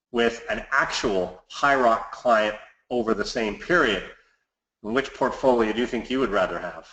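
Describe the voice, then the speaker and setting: A man's voice: mid-range voice, a bit bright. A man giving a scripted market commentary as a financial advisor hosting a client webinar, recorded in a roomy, echoey space.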